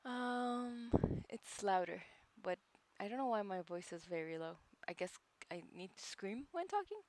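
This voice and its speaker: A woman's voice: mid-range voice, lively intonation, a little slow, darkish tone, slight background noise. A woman hosting a casual live stream, chatting hesitantly with viewers and laughing often. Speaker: livestream host studying along.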